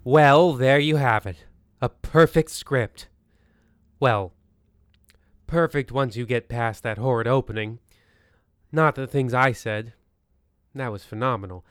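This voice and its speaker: A man hosting as narrator of a mock old-time radio show, with deliberate, theatrical delivery. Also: medium pitch, smooth, dry recording, studio quality.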